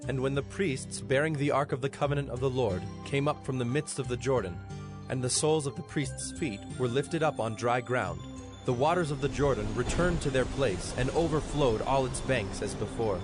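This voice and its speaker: A man narrating scripture from a script in a solemn, measured manner. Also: medium pitch. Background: music.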